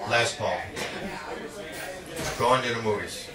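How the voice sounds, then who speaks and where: A man reading poetry aloud at a live reading in a measured, dramatic spoken-word delivery. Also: mid-range voice, over a noisy crowd. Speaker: poet. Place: indoor literary cafe reading. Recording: echoey, distant live recording with audience. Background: audience sounds.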